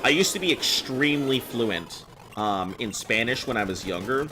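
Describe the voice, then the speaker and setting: A man's voice: medium pitch, animated, normal speed, background noise. A man in his thirties who sounds much younger, chatting casually on a game stream and rambling with fillers. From United States. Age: 30s.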